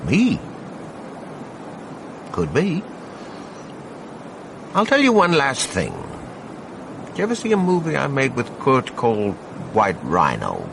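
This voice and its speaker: An actor playing a hard-nosed, middle-aged American Hollywood veteran in a radio drama, wry and guarded, with a laconic, folksy drawl. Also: male voice, medium pitch, wildly swooping pitch, slow pace, gravelly, pauses often, exaggerated voice. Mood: cagey. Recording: noisy archive radio broadcast with ambience.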